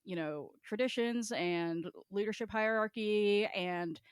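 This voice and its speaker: A woman with a mid-pitched voice chats as a podcast co-host. Her delivery is informal and meandering, with some swearing. The recording is dry and close. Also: takes it slowly, smooth, dry recording. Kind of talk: casual chat among co-hosts.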